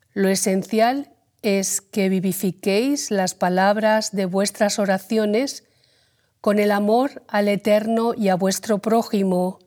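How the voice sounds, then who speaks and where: A woman reading a religious text aloud in a calm, deliberate way. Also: medium pitch. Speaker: reader.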